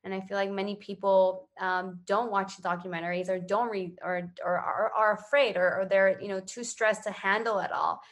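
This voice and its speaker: A woman's voice: mid-range voice, little pitch movement, moderate pace, hardly any pauses. A woman from Ecuador giving casual, earnest interview answers as a social entrepreneur, often checking in with "right?". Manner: earnest, conversational, often tagging phrases with "right?".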